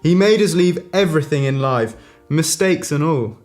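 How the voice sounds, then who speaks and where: A man reading aloud as a host in a mock bedtime-story style, with a deliberate, theatrical storytelling delivery. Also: a little high, expressive, darkish tone, faint music behind.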